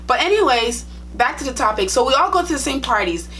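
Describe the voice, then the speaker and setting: A woman's voice: sits high, very animated, fast, fairly bright tone, a little hiss or hum. A young woman with a Liberian accent, a nursing vlogger talking to her viewers in a chatty, direct, plain-spoken way. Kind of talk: casual storytime vlog.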